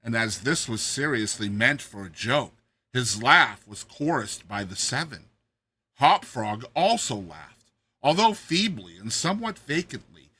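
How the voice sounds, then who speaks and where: A man's voice: medium pitch, very animated, unhurried, pushed and bright, a little hiss or hum. A man narrating a classic tale as a dramatized reading, theatrical and emphatic.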